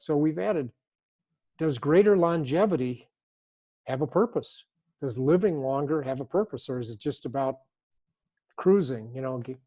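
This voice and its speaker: An older man speaking as a guest author in an interview, thoughtful and unhurried, often repeating and reworking his phrases. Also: mid-range voice, mellow. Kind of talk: reflective interview talk.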